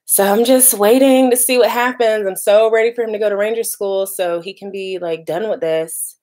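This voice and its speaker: A woman's voice: medium pitch, steady tone, moderate pace, a little mellow. A woman, chatting casually on a live stream and reading out viewer comments. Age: 30s. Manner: chatty, lots of "like" and "y'all", laughs easily. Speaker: vlogger doing a live stream.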